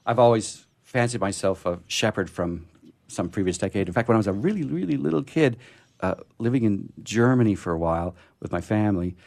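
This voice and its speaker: Male, lowish pitch, poetry host, expressive reader, halting casual delivery. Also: vocal fry. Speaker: radio show host reading poetry. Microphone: studio microphone he drifts away from.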